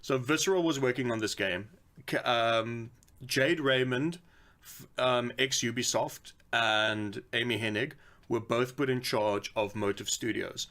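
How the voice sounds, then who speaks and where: Give this voice to a man co-hosting a casual podcast. He talks at an ordinary mid pitch and states his opinions bluntly. Swearing comes easily into his chat. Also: a little slow, a bit bright. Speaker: podcast co-host.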